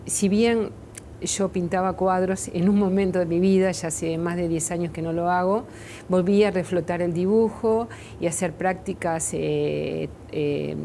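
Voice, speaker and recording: A middle-aged woman with a Uruguayan accent, a makeup artist answering questions in a TV studio interview, often pausing with fillers and restarts. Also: fairly low pitch, dark tone, a little hiss or hum. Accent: Uruguayan Spanish. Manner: hesitant, many fillers and restarts. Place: TV studio set, seated in armchair.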